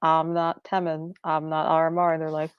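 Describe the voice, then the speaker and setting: A woman's voice: sits low, monotone, moderate pace, smooth, no room sound. A woman chatting casually about books as a readalong co-host, on dull stream audio. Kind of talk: casual book discussion.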